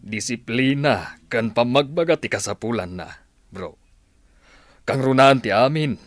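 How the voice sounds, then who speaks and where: A man's voice: mid-range voice, a little slow, dry recording, lightly acted. A man acting an earnest, friendly counselor who gives advice in an audio drama.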